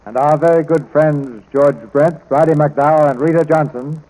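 A man announces as host of an old radio theatre show. His delivery is formal, with a mellow, somewhat high voice on a vintage broadcast. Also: fairly even intonation, normal speed, poor audio.

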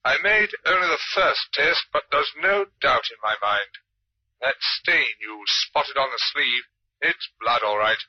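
Certain, clipped police doctor, medium pitch, muffled telephone filter, vintage radio crime. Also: male voice, lively intonation, measured pace, pushed and bright, dry recording, heavily acted. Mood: certain, businesslike. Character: matter-of-fact, certain male police doctor. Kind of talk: old-time radio acting.

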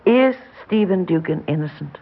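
A woman's voice: fairly low pitch, lively intonation, normal speed, breathy edge. An actress playing a polite, earnest young female welfare worker, persistent but hesitant, in a 1960s radio drama. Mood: earnest, concerned. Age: young adult. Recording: muffled vintage 1960s radio recording.

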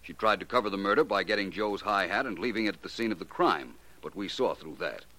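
An actor playing a shrewd, confident gentleman detective in a 1940s radio drama, articulate and assured. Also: deep voice, lively intonation, fast, heavily acted, low-quality recording. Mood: wry, satisfied.

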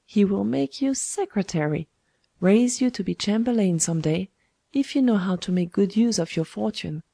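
A woman's voice: medium pitch, expressive, normal speed, vocal fry, mellow, no room sound. A woman voicing a sly, domineering old woman schemer in a storybook, with a taunting, wheedling manner. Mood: imperious, coaxing. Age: older.

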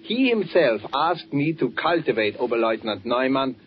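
A man plays a sly official from a 1940s radio thriller. The voice is medium in pitch, with a smooth, insinuating menace. The old recording is muffled, with faint noise. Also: very animated, moderate pace, close to an everyday voice. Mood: sly, probing. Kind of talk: 1940s radio drama acting.

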